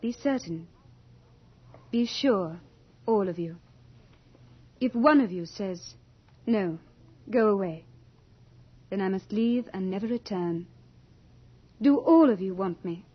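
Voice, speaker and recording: An actress playing Death as a beautiful, imperious lady in a theatrical radio drama, speaking in a grand, coquettish, deliberate way. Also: mid-range voice, expressive. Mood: grave, commanding. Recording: muffled vintage radio drama recording.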